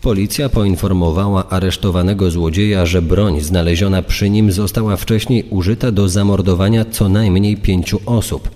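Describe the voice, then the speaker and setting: A man with a deep voice reads scripted documentary narration. His words trail off in a long reverb. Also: fairly even intonation, moderate pace, hardly any pauses, warm tone. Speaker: secondary narrator.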